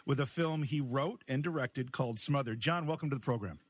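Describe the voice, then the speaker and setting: A man's voice: medium pitch, fairly quick. A man hosting a conversational radio interview and putting questions to a guest. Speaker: radio show host and interviewer.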